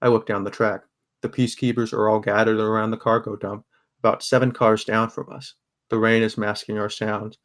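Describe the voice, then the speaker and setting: A young man acts a sardonic, guarded teenage boy narrating a bleak audio drama. The voice sits low and stays very even, with a subdued, first-person storytelling delivery. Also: a little fast, close to an everyday voice. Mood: tense, subdued.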